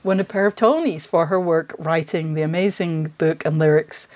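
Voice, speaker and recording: A woman's voice: deep voice, moderate pace, darkish tone. A woman interviewer talking conversationally and with enthusiasm as a podcast host.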